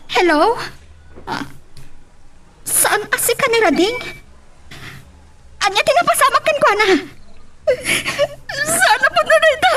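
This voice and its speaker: A woman's voice: very high voice, noisy background, heavily acted. A woman with a Filipino accent playing a tender, anxious young pregnant wife in an audio melodrama. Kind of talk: Filipino radio-style melodrama acting.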